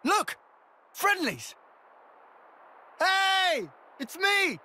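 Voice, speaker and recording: An actor with a British accent playing a hot-headed, brash young soldier in a video game. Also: male voice, very high-pitched, very animated, extremely slow, sharp tone, full character voice. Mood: panicked, shouting.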